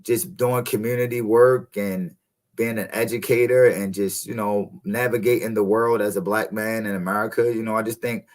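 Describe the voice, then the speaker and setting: A man in his thirties, a guest coach, speaking in a relaxed, reflective way and often adding "you know what I mean". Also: on the low side, moderate pace. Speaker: podcast guest, encouragement coach. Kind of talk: reflective casual conversation.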